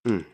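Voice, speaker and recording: A man in a casual, rambling chat about guitars, losing his voice a little and clearing his throat often. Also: a bit bright. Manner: rambling, repeats himself, frequent throat clearing. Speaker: streamer.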